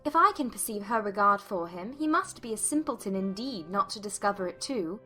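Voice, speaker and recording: Woman doing a lively young regency lady, bright voice, quick to object, formal wording, audiobook drama. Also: mid-range voice, faint music behind. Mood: firm objection. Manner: earnest, formal period diction.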